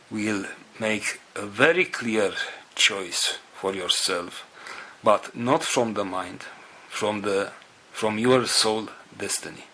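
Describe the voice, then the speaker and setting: A man with a non-native English accent giving a spiritual talk to followers in a solemn, halting manner, in short phrases. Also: fairly low pitch, pushed and bright, breathy edge. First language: not English. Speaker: spiritual group leader.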